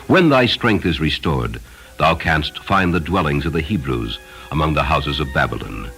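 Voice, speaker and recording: A male reader plays an earnest, devout Magian traveler with a very low voice. He speaks in formal, archaic storybook phrases, merciful and anxious about his quest, while music plays behind him. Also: lively intonation, normal speed, creaky, fairly bright tone, full character voice. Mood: earnest, kindly.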